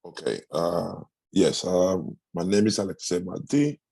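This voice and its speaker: Middle-aged Cuban man, Spanish speaker, gravelly and husky, halting answers. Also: low-pitched, warm tone, no room sound. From Cuba. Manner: halting, with frequent restarts and fillers. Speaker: musician guest. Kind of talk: interview answers.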